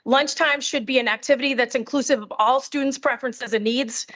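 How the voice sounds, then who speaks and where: High-voiced middle-aged woman giving testimony. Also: fairly even intonation, brisk, fairly bright tone. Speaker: teacher testifying. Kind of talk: prepared public testimony. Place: committee hearing. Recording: dull, muffled audio.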